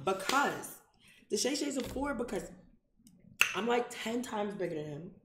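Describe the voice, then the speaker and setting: A woman's voice: medium pitch, sing-song, a little slow, roomy sound, very noisy. A young woman guest on a live stream, teasing the host bluntly in casual chat in a living room. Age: young adult.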